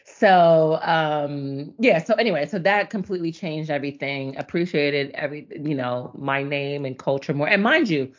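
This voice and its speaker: A middle-aged woman born in Nigeria and raised in the US, telling her life story as a podcast guest in a chatty, animated way with frequent ums. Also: sits low, moderate pace, hardly any pauses. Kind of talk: casual conversational storytelling. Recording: dull lo-fi audio.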